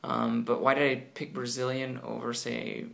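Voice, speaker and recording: A man's voice: medium pitch, flat delivery, moderate pace, vocal fry, fairly bright tone. A man in casual conversation about learning languages, hesitant, with many ums and restarts.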